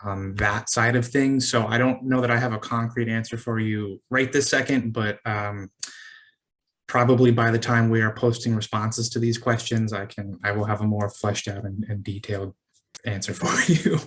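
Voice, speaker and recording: A man's voice: fairly low pitch, flat delivery, moderate pace, fairly bright tone. A man working as a city staffer, answering questions on a conference call in a careful, hesitant way. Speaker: city planning staffer, committee liaison.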